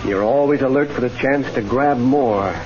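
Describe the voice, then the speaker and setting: A shrewd Old West confidence man, played by a male radio actor, speaks with smooth self-assurance and a pointed edge. The vintage radio drama recording is dull and muffled. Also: medium pitch, moderate pace, darkish tone, lightly acted. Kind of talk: 1940s-50s radio Western acting.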